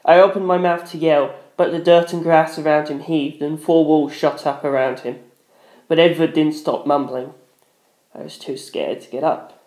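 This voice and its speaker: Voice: medium pitch, fairly even intonation, normal speed, darkish tone. A narrator reading a dramatic first-person story. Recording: echoey room.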